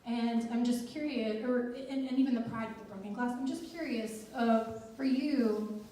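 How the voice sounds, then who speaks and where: A woman's voice: a little high, steady tone, normal speed, warm tone. A woman moderating a post-show panel, asking questions in a hesitant way as she searches for words. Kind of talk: moderating a talkback. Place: theater stage. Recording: echoey hall from far off.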